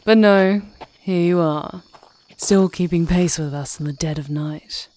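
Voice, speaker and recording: A woman's voice: on the low side, a little slow, mellow, natural voice. A woman playing a cocky, teasing pack leader in an audio roleplay, with a brash, casual manner.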